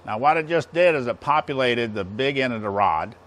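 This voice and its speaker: A man's voice: mid-range voice, animated, fairly quick, fairly bright tone. An American man in his sixties giving a plain-spoken, folksy technical demonstration, explaining things step by step. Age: 60s. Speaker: instructor demonstrating engine balancing equipment. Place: large indoor machine shop. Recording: clear background noise, workshop ambience.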